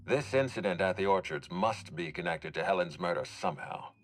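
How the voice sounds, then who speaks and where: An actor playing a formal, guarded executive in a video game, speaking in a measured, courteous and dryly stern way. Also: male voice, deep voice, lively intonation, slight background noise. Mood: grave, suspicious. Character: formal, guarded male executive overseeing hotel and orchards. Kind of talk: dramatic video game dialogue.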